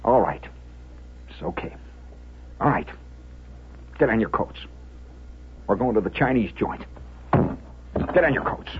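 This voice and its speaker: A man's voice: fairly low pitch, animated, slow pace, pauses often, slight background noise, voice pushed down. A man voicing a hot-tempered father in a comic family story, speaking in short, repeated exclamations. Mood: subdued resignation. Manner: exclamatory, repetitive. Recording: muffled, band-limited vintage radio sound.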